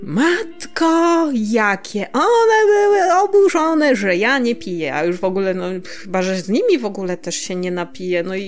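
A woman's voice: medium pitch, sing-song, moderate pace, hardly any pauses, faint music behind. A woman chatting casually and reminiscing as a podcast co-host, giggly and a little hesitant, letting sentences trail off.

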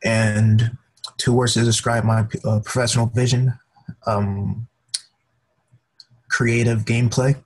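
A man's voice: medium pitch, flat delivery, slow, pauses often, slightly rough, low-quality recording. Male student from Houston, plain, medium voice. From Houston, Texas, USA.

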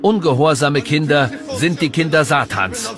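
An actor playing a stern, fervent Puritan village pastor, speaking gravely and preaching in a historical documentary dramatization. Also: male voice, a little high, expressive, normal speed, over music, lightly acted. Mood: damning, severe.

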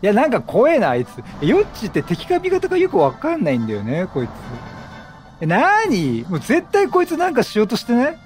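A man doing excitable, chatty game commentary, reacting aloud and bantering with the characters. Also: very high voice, fairly quick, faint music behind. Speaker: gaming streamer, let's play host.

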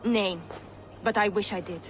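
A woman's voice: medium pitch, steady tone, measured pace, slight background noise, lightly acted. A woman playing an anxious, feisty mother in a historical video game, fretful and earthy. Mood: worried. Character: anxious, feisty working-class mother in Viking-age London. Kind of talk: video game cutscene acting.

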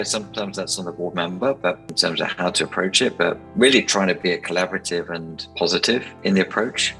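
A man's voice: low-pitched, gravelly, fairly bright tone, low-quality recording. A man in his forties, an investor giving informal advice to camera on a video call from a small room. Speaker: startup investor and board member. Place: small attic room indoors. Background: background music.

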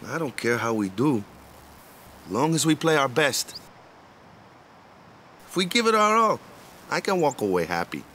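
A man's voice: medium pitch, big pitch swings, unhurried, pauses often, sharp tone, a little hiss or hum. An actor playing a friendly, laid-back island ballplayer in his twenties in a video game, talking in casual slang with tag questions. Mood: easygoing, reassuring. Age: 20s. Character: easygoing, friendly 23-year-old male island ballplayer.

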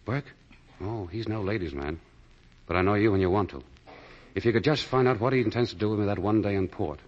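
An actor playing a suave, coolly resolute condemned fugitive in a 1940s radio drama. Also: male voice, fairly low pitch, brisk, a little mellow, close to an everyday voice. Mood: urgent, clipped. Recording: muffled vintage 1940 radio broadcast.

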